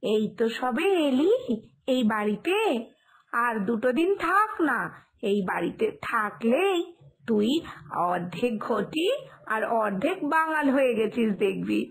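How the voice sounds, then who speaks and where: A woman playing a reassuring elder sister-in-law in a cartoon village tale, explaining things and gently chiding. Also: fairly high pitch, sing-song, measured pace, vocal fry, full character voice. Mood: teasing. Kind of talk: Bengali cartoon village family tale acting.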